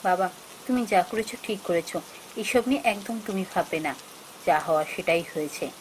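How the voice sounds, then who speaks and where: A woman's voice: mid-range voice, expressive, fairly quick, a little hiss or hum, natural voice, low-quality recording. A woman voicing a devoted, sensible married daughter in a fairy-tale cartoon, speaking earnestly. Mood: supportive.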